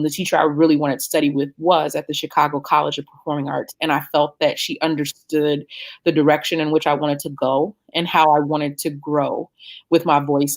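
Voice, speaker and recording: A woman in her thirties, an opera singer answering interview questions in a reflective, conversational way with frequent fillers and restarts. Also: deep voice.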